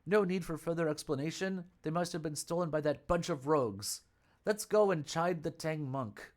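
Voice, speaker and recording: A man's voice: a little high, moderate pace, darkish tone, lightly acted. A man voicing an anxious young male temple attendant who counts things carefully, speaking in an alarmed, exacting way.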